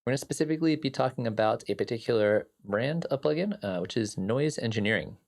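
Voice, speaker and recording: A man's voice: medium pitch, lively intonation, quick pace, darkish tone. A man with a slightly scratchy voice hosting a casual music production livestream, rambling easily with many fillers and greeting viewers in chat. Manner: talks to viewers by name and answers chat. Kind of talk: casual live-stream chat.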